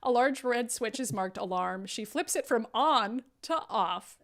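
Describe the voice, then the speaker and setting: A woman with a fairly high, very animated voice reads stage directions at a live table read. She narrates with drama, then slips into wry, casual asides. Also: a little slow. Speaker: podcast host reading stage directions.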